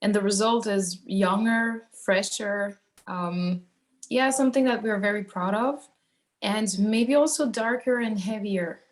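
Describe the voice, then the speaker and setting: A woman's voice: mid-range voice, measured pace. Thoughtful woman from Switzerland in interview. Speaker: singer in a metal band, interviewee. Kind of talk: casual interview answers.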